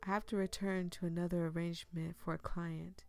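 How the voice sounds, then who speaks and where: A hoarse woman reading as a soft-spoken male florist. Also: fairly low pitch, level tone, lightly acted. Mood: polite, soft. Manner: soft, courteous, measured. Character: gentle polite young male florist.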